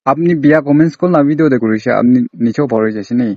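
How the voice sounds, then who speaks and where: Low-voiced man, accusing and insistent. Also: fast, mellow, dry recording, highs cut off. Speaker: prank caller. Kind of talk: confrontational prank phone call in casual colloquial speech.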